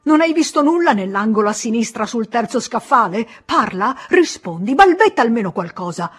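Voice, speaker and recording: A woman reading an audiobook voices a pompous, irate middle-aged male pharmacist, scolding loudly and with emphasis in a husky voice. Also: on the high side, sing-song, moderate pace, soft background music, pitched above the performer's own voice.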